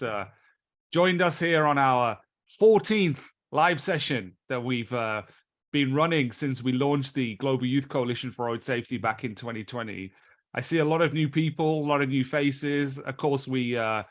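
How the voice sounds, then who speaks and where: A British man runs a live online training session, talking into a computer mic on a video call. His voice sits at a mid pitch, and his delivery is chatty and loose, often circling back to restart a phrase before carrying on.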